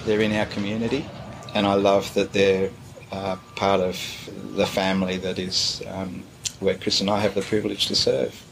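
Middle-aged man, pastor, low-ish voice, unhurried and hesitant, faint background hiss. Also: gravelly, rough recording. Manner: relaxed, hesitant with many fillers. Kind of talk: casual interview answers.

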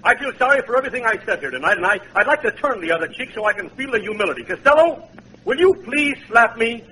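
High-voiced touchy American cabby. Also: male voice, lively intonation, fast, bright, forceful tone, full character voice. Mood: falsely humble. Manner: needling, badgering, slangy. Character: touchy, argumentative cabby, a pugnacious troublemaking wise guy. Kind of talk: 1940s radio comedy acting. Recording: noisy old radio recording.

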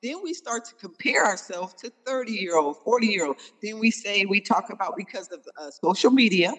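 A woman in her forties hosting a dating talk, frank and slangy, coaching with animated, blunt questions. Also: mid-range voice, moderate pace, big-room sound, low-quality recording. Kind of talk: casual chat.

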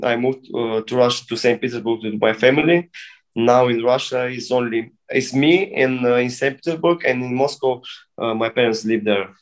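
Man in his thirties, halting English. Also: medium pitch, poor audio. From Bulgaria. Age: 30s. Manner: halting non-native English with many restarts. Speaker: guest breakdancer. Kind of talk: casual interview.

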